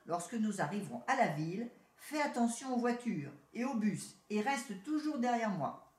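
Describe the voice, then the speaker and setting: A woman's voice: a little high, wildly swooping pitch, moderate pace, darkish tone, voice pushed up. A woman voicing a haughty, boastful male city rat in a storybook tale, talking down to his cousin. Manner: proud, boastful, condescending. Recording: roomy echo.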